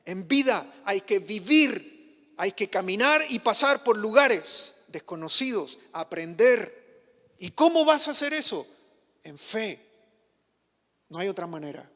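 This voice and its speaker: High-voiced pastor preaching in a hall. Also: male voice, expressive, takes it slowly, sharp tone. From Chile. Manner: passionate preaching, rising on questions and dropping low for emphasis. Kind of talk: sermon. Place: church.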